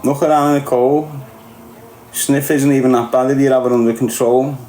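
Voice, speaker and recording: A man's voice: mid-range voice, steady tone, normal speed, mellow, echoey room, poor audio. A man with a Scouse accent ranting in a casual monologue, mocking and crude, laughing at his own jokes. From Liverpool, England. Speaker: online streamer.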